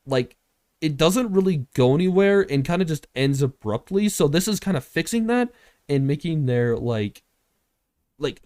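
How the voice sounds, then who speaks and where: A man's voice: medium pitch, a little mellow, no echo, studio quality. A young man hosting a casual project update, talking in an informal, rambling way peppered with filler words. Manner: informal, rambling, heavy use of "like". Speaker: filmmaker and host.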